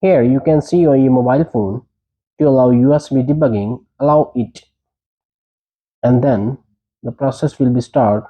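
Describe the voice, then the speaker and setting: A man's voice: mid-range voice, normal speed, pauses often, lo-fi sound. A man presenting a tech tutorial, giving short, plain step-by-step instructions.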